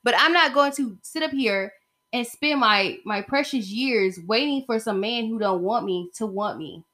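A woman's voice: fairly high pitch, moderate pace, sharp tone. An American woman in her thirties chatting casually alone as a podcast host, rambling in a sassy, slangy way. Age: 30s. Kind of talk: casual solo podcast chat.

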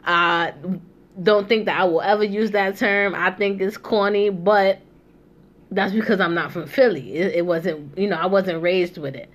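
A woman's voice: mid-range voice, fairly even intonation, normal speed. A young woman hosting a casual chat, talking loosely with many asides and fillers. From New York. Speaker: host.

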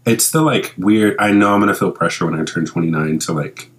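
A man's voice: low voice, brisk. A man in his twenties co-hosting a podcast, chatting casually in a candid, joking, profane way. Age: 20s. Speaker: podcast co-host.

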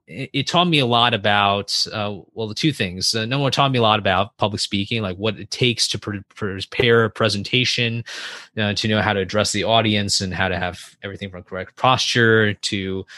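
A young man guesting on a podcast, speaking in a somewhat low, bright voice. He talks in a halting, friendly way, restarting phrases and laughing softly. The sound is dry and close, with no room echo. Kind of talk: casual interview chat.

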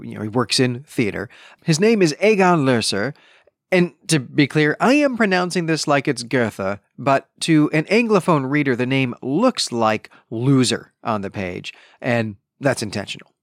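A man's voice: medium pitch, no echo, clean studio sound. A man hosting a podcast, talking through a book review conversationally, explaining and adding self-correcting asides. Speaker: podcast host.

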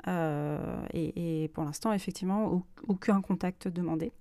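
A woman's voice: fairly low pitch, normal speed, warm tone, no room sound, studio quality. A woman hosting a personal podcast, speaking unscripted and reflectively, often hesitating as she searches for her words. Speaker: podcast host and self-published author.

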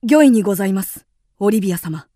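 A woman's voice: mid-range voice, animated, quick pace, warm tone, no room sound, studio quality, close to an everyday voice. An actress playing a young noblewoman disguised as a devoted pageboy in a radio drama, polite, deferential and hesitant. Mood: formal.

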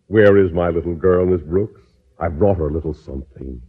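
A man's voice: sits low, brisk, breathy edge, narrow, tinny audio. An actor playing a genteel, effusive and easily flustered male suitor in a 1950s American radio sitcom. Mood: gushing. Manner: effusive, hesitant when flustered.

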